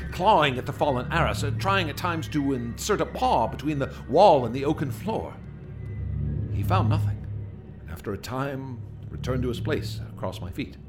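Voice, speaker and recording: An actor playing a troubled gentleman recounting frightening events in a radio drama, earnest and hesitant, stammering when unsettled. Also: mid-range voice, lightly acted. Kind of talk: radio drama acting, halting testimony.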